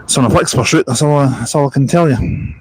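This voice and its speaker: A man's voice: medium pitch, fast talker, darkish tone, husky, no echo, poor audio. Chatty man on a live stream. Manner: rambling, chatty, spots buses and reads out comments. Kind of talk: casual live-stream chat. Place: outdoors at a bus park and ride.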